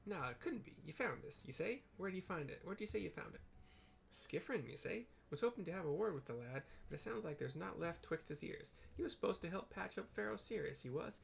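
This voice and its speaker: A man voicing a gruff, grumbling port supervisor in a video game, with rustic dialect and folksy tag phrases. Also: high-pitched, animated, fairly quick, a little hiss or hum, voice pushed up, full character voice. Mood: grumbling, musing.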